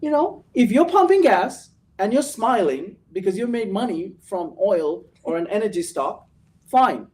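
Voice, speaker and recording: A man's voice: high voice, big pitch swings, unhurried, a little mellow, distant mic. A host leading a casual trading show and giving motivational advice, often ending his points with "right?". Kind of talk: casual chat.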